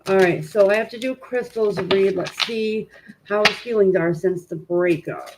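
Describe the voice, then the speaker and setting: A woman with a stuffy, congested nose hosting a tarot reading live stream at home, chatting informally with viewers and filling pauses with "um". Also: medium pitch, background noise. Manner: informal and chatty, many "um" fillers, greets viewers. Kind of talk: casual live-stream chat and card reading.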